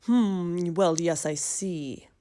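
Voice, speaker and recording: A fussy male family doctor from a storybook, put on by a woman reader. He sounds pompous and deliberate, bumbling along with measured care. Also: on the low side, warm tone, pitched below the performer's own voice. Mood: musing.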